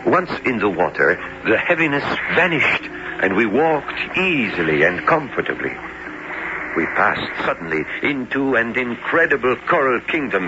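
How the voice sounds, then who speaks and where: Learned professor narrator, noisy muffled recording. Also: male voice, lowish voice. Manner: measured, formal storytelling. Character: learned, sober male doctor and professor recounting his sea voyage. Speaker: narrator and character. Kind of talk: dramatic radio narration and acting. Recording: noisy, dull old radio drama recording.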